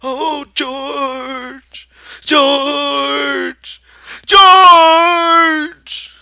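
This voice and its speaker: A man voicing a simple-minded giant farmhand who pleads in a high, strained wail with a rural drawl. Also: fairly even intonation, takes it slowly, gravelly, sharp tone, no room sound, studio quality, exaggerated voice. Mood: frantic.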